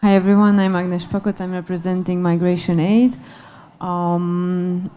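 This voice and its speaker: Mellow-voiced woman from Transylvania. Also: fairly low pitch, little pitch movement, unhurried, clean tone. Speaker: NGO representative, panelist. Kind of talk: panel self-introduction.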